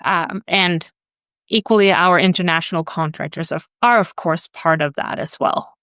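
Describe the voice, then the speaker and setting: A woman from Sweden talks through her answers slowly and hesitantly. She keeps stopping and restarting. Her voice sits a little low and sounds smooth and resonant. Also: fairly bright tone, no room sound, studio quality. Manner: hesitant, many fillers and restarts. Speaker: HR guest. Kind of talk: conversational interview answers.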